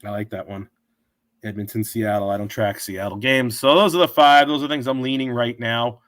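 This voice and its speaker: Hoarse man. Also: medium pitch, steady tone, normal speed, a bit bright, no echo. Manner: rambling, thinks aloud with many fillers and restarts. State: sore throat, losing his voice, coughing. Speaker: sports betting show host. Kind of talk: casual betting picks talk. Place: home.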